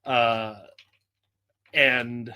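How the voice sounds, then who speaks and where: A man hosting a casual, comedic podcast, speaking in a joking, halting way. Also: medium pitch, little pitch movement, pushed and bright, clear, resonant tone. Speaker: podcast host.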